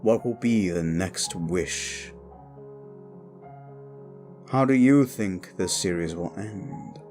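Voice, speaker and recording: A man speaking to his audience as an audio roleplay creator, with theatrical, deliberate phrasing. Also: low-pitched, animated, darkish tone, soft background music. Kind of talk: outro addressing the audience.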